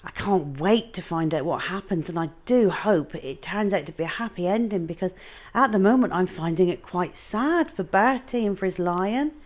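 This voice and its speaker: Lively, lower-pitched woman reading a story. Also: normal speed. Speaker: children's story time host. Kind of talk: expressive storybook narration.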